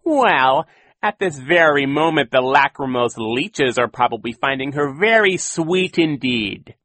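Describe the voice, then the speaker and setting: A theatrical storybook villain, a sneering and boastful con man. His growling voice leaps high and swings wildly as he gloats and mocks, forceful and bright, recorded close and dry. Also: animated, a little slow, no room sound. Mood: gloating, mocking. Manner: gloating, exaggerated, mocking delivery. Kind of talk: audiobook character voice.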